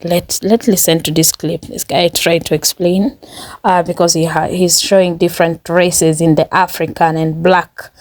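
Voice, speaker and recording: Chatty woman reacting, low voice, dry sound. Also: little pitch movement, normal speed, darkish tone, dry recording. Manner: chatty, laughs often, thinks aloud. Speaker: reaction video host. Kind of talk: casual reaction commentary.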